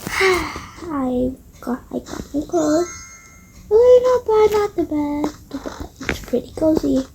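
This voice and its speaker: A young-sounding female speaker narrating a casual improvised game roleplay in a hushed, hesitant way, often trailing off into whispers. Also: sits high, vocal fry, a little hiss or hum, rough recording. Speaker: roleplay video creator.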